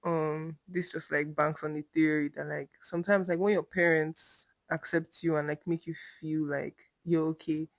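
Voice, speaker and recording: A woman's voice: sits low, flat delivery, moderate pace, hardly any pauses, no room sound. A woman hosting a podcast, chatting casually and supportively, often dropping in short agreements. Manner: supportive, many short backchannels. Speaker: podcast host. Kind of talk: casual chat.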